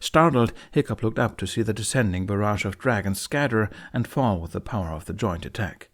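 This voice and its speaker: A man's voice: lowish voice, lively intonation, a little fast. A man reading a fantasy audiobook as narrator, in dramatic scripted narration.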